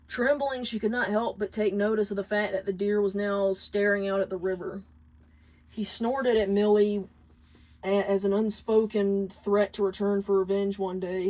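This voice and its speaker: A woman reading fan fiction aloud as a dramatic, deliberate story narration. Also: medium pitch, mellow, rough recording.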